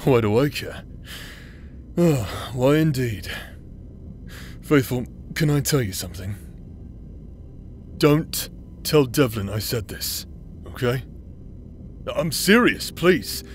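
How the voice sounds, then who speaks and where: A man's voice: mid-range voice, animated, slow, long pauses, a little hiss or hum. A man acting in an intimate audio roleplay as a teasing, roguish outcast brother who plays the villain but is secretly tender, with a hesitant, chuckling drawl. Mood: confiding, earnest. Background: music.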